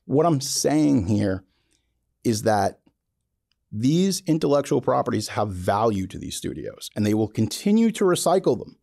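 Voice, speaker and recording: Animated, mellow-voiced man talking bluntly. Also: medium pitch, unhurried, gravelly, no room sound, clean studio sound. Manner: emphatic, blunt, colloquial. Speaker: podcast host. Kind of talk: opinionated casual monologue about filmmaking.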